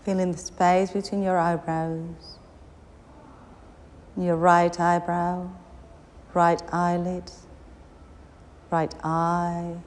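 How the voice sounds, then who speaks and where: A woman guiding a yoga nidra meditation, giving soothing, unhurried instructions with long pauses between short phrases. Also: fairly low pitch, little pitch movement, darkish tone. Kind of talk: guided meditation. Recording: long reverberant hall, background noise.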